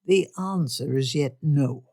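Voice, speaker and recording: A woman voicing a weary father with dry, deadpan wit. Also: low voice, takes it slowly, no echo, voice pushed down, full character voice. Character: weary dry-witted father of five daughters.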